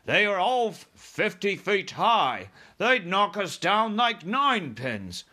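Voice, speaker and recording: A man's voice: high-pitched, very animated, takes it slowly, bright, forceful tone, pitched above the performer's own voice, exaggerated voice. A man voicing a blustering, hot-tempered army commander in a storybook, with indignant, barking military talk. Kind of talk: storybook character voice.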